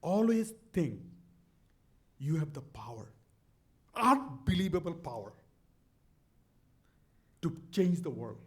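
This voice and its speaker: A man's voice: fairly high pitch, big pitch swings, slow, pauses often. A middle-aged man with a Bangladeshi accent, telling a motivational story in an earnest, emphatic way, often repeating words. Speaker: speaker on a stage talk.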